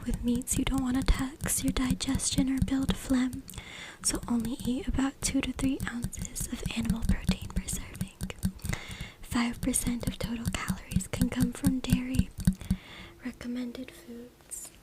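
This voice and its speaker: A woman reads aloud in a breathy, unvoiced whisper in ASMR style, close to the microphone, with brief informal asides. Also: sits high, little pitch movement, measured pace. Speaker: ASMR creator. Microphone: close USB microphone. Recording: loud background noise, tapping and clicking. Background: tapping, scratching and clicks.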